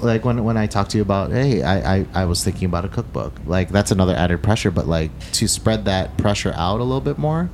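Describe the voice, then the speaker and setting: A lower-voiced man from the Philippines and Detroit chats about restaurant life in loose, rambling sentences. He puts himself down with a laugh and acts out other people's remarks. Faint noise sits under the recording. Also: lively intonation, fast, hardly any pauses, darkish tone. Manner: rambling, self-deprecating, acts out customers. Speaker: chef guest. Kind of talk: casual chat.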